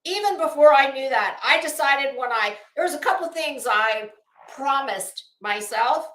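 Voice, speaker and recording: Casual livestream host: American woman, middle-aged, high pitch, unhurried delivery with heavily stressed words, blunt and sweary. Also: expressive, a bit bright. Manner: blunt, opinionated, profane. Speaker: YouTube commentary host. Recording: roomy, echoey room sound.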